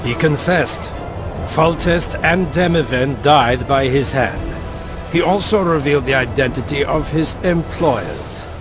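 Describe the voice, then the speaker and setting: A man's voice: fairly high pitch, lively intonation, unhurried, music in the background. A man playing an indignant imperial ambassador in a video game, speaking formally and insistently. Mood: accusing. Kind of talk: video game dramatic dialogue.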